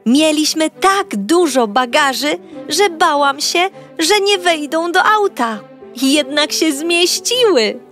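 A woman narrating a children's audiobook as a cheerful young girl, talking brightly and directly to young listeners. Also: sits high, animated, slow pace, soft background music. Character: cheerful young girl telling her own story. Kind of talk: first-person storybook narration for children.

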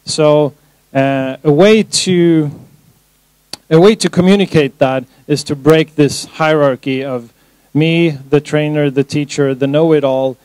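A man's voice: on the high side, steady tone, slow pace, a little mellow, clear, resonant tone, no room sound, poor audio. A man leading a training course, explaining an exercise to a group while standing in a conference room with a handheld mic. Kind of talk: workshop instructions to a group.

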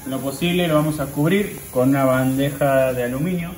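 A man in his thirties with an Argentine accent, hosting a cooking show and calmly talking viewers through each step as he works. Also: mid-range voice, brisk, low-quality recording. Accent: Argentine Spanish. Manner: talks viewers through steps while working with his hands. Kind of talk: casual cooking demonstration. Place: outdoor grill patio.

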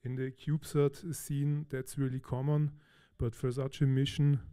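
Austrian-born man, mellow conference presenter, relaxed with fillers. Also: mid-range voice, normal speed, clean tone. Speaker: conference speaker. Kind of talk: technical conference talk. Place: large conference hall.

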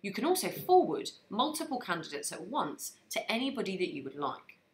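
Lively woman narrating a software tutorial. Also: mid-range voice, brisk. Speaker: software trainer.

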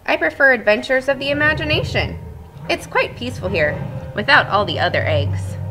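A woman voicing a chatty, cheerful male egg in a storybook read-aloud, with bouncy, expressive delivery. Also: sits high, brisk, music in the background, pitched above the performer's own voice, rough recording.

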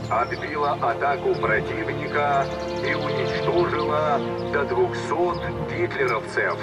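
A man playing a Soviet wartime radio announcer reading a formal news bulletin in a measured, declamatory way. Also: fairly high pitch, lo-fi sound, close to an everyday voice. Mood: solemn. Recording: radio broadcast under loud music.

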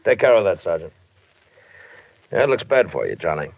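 An actor playing a stern, skeptical police lieutenant who interrogates matter-of-factly in an old radio drama. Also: male voice, low-pitched, sing-song, creaky, slightly rough, full character voice. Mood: businesslike, skeptical. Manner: brisk, interrogating, matter-of-fact. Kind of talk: 1950s radio drama acting. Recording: muffled 1950s radio broadcast recording.

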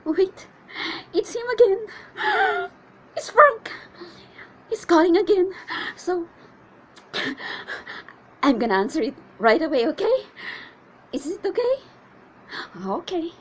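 A woman performing a comedic monologue as a needy, chatty woman waiting on a suitor, with theatrical exasperation and drawn-out questions. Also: very high-pitched, very animated, exaggerated voice. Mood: excited, nervous.

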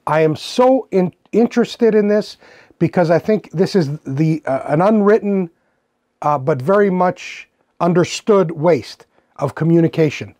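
A dry recording of a middle-aged man with a rather high voice. He speaks conversationally as the host of an interview. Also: animated, a little slow, a little mellow, dry recording.

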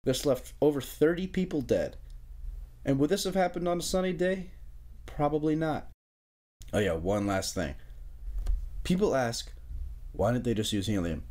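A man's voice: medium pitch, lively intonation, moderate pace, long pauses, a little mellow. A young American man narrating an explainer in a casual, joking way, with informal wry asides. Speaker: narrator of an amateur history explainer video.

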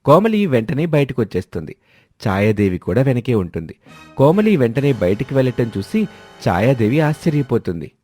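Animated, mellow male story narrator. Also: medium pitch, moderate pace, soft background music.

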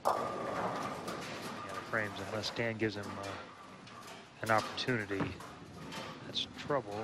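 Male bowling analyst, low-pitched, explanatory tone, noisy broadcast bed. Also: fairly even intonation, unhurried. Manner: technical, explanatory, with frequent fillers. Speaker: color commentator. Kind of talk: analytical sports commentary. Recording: loud broadcast background noise.

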